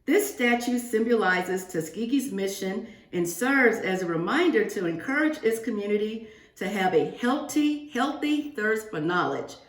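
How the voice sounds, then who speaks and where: A woman reads a children's book to a young class in an expressive, teacherly way, turning to the listeners with asides and questions. Her voice sits at an average pitch for a woman. The sound is roomy and echoing, as in a remote reading from indoors. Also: a little slow. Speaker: guest reader for a second-grade class. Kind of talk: read-aloud of a children's picture book with asides to pupils.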